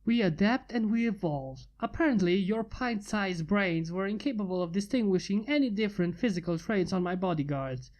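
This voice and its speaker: A woman's voice: mid-range voice, lively intonation, moderate pace, hardly any pauses, a little mellow, natural voice. A woman voicing a smug male inventor, plainly in her own voice. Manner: smug, mocking.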